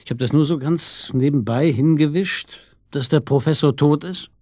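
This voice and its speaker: An actor playing a wry, probing police detective in a radio drama, with a husky voice and a dry, colloquial manner. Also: male voice, a little high, moderate pace, a little mellow, no room sound, close to an everyday voice. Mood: puzzled. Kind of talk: naturalistic German radio drama acting.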